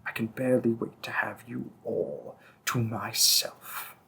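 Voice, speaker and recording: A man playing a possessive demon king and devoted lover in a romantic fantasy audio roleplay, often dropping his breathy voice to a whisper. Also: medium pitch, expressive, unhurried, sharp tone, full character voice, low-quality recording. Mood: menacing, possessive.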